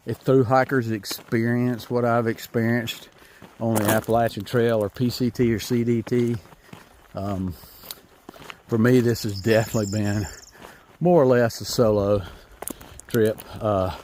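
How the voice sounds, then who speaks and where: A man's voice: on the low side, darkish tone, a little hiss or hum. A man from North Carolina with a Southern American accent, rambling in a folksy, self-amused way as he vlogs while hiking. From North Carolina, USA. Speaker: hiker vlogger. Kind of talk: casual walking vlog. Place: outdoors on a rural trail.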